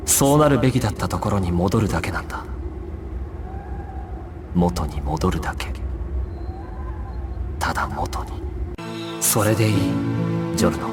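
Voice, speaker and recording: Resolute, dramatic young gangster leader. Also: male voice, deep voice. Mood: calm, resigned. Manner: solemn, commanding, declarative. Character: resolute young male gangster squad leader, stoic and self-sacrificing. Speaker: video game voice actor. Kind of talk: dramatic Japanese action game voice acting. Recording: music behind the voice.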